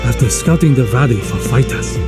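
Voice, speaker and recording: Solemn narrator, played by a man for a karate game: mid-pitched, proverb-like gravity, prominent music underneath. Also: lively intonation, a little fast, lightly acted. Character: grave, proverb-spouting male storyteller of karate rivalries. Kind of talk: dramatic scripted game narration.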